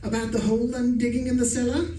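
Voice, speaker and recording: A man's voice: very high voice, monotone, quick pace, warm tone, slight background noise. A man acting a henpecked, secretly murderous husband in a radio suspense play, hesitant and deferential. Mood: meek, nervous. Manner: hesitant, deferential, stammering under questioning. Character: henpecked, scheming English husband, nervously meek, secretly murderous. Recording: echoey room, distant mic, music behind.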